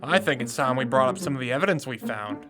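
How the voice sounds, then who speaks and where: An actor playing a brash, suspicious young amateur detective in an audio drama, with pointed, accusatory questioning. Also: male voice, mid-range voice, animated, quick pace, over music, natural voice. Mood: probing, confident. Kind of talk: scripted audio drama acting.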